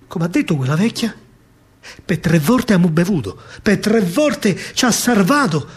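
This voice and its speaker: High-pitched Roman man, dramatic verse storyteller. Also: sing-song, normal speed. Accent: Roman Italian. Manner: declamatory, emphatic, rhythmic phrasing. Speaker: stage actor and storyteller.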